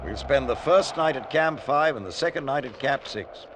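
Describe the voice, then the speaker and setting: An actor playing a resolute expedition climber with a clipped, commanding manner in a 1950s radio drama. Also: male voice, medium pitch, expressive, normal speed, close to an everyday voice. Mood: determined. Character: resolute male British expedition climber planning a summit attack. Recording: noisy old radio recording.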